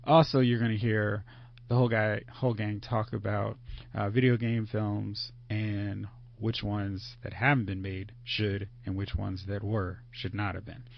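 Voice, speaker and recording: A man's voice: fairly low pitch, flat delivery, unhurried, creaky. A man hosting a movie podcast, chatting casually and leading the panel with upbeat energy. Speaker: podcast host. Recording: dull lo-fi podcast audio.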